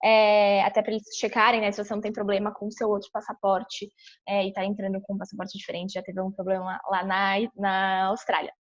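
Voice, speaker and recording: A woman's voice: mid-range voice, completely flat delivery, brisk. A young woman from São Paulo with a Brazilian accent, explaining a screen-share tutorial in a chatty way, full of fillers and restarts. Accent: São Paulo Brazilian Portuguese. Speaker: vlogger walking through a visa application. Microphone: webcam on a computer. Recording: dull lo-fi webcam audio.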